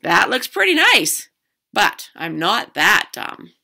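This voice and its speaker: A woman's voice: mid-range voice, sing-song, takes it slowly, vocal fry, bright, forceful tone, voice pushed up, full character voice. A woman voicing a cocky, impatient young girl in a storybook, exclaiming emphatically. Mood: scornful.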